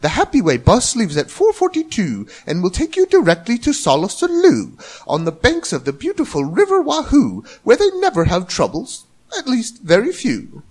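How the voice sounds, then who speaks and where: A man voicing a bossy, smooth-talking older chap in a rhyming children's storybook, grandly reassuring and persuasive. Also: sits high, wildly swooping pitch, moderate pace, darkish tone, voice pushed up, heavily acted. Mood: breezy, reassuring.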